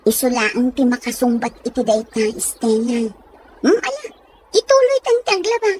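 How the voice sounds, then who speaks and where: Outspoken Ilocano Filipina best friend played by a woman, high and very animated, teasing, audio comedy-drama. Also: moderate pace, a little hiss or hum. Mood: teasing, prodding.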